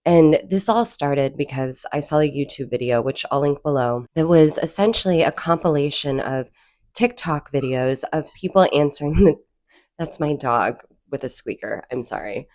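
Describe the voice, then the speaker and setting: A woman's voice: low voice, normal speed, a little mellow. A woman with a cold and a froggy voice, hosting her own channel and telling stories in a chatty, rambling way with self-deprecating asides. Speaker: channel host. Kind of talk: casual storytelling vlog.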